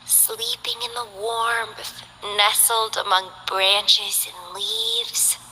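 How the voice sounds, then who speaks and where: A woman's voice: mid-range voice, flat delivery, extremely slow, sharp tone, big-room sound, slight background noise, exaggerated voice, rough recording. A woman voicing a languid, bored young mercenary who loves plants, in game character voice lines with a lazy, teasing drawl.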